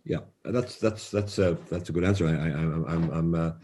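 A man's voice: sits low, moderate pace, warm tone. A man moderating a panel discussion, speaking thoughtfully with many fillers and restarts.

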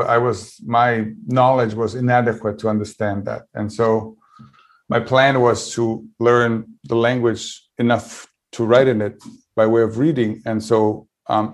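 A man in his fifties with a Bosnian accent, a native Bosnian speaker telling a reflective story as a panelist, with frequent pauses and restarts. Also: fairly low pitch, a little mellow. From Bosnia and Herzegovina. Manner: thoughtful, with many pauses and restarts.

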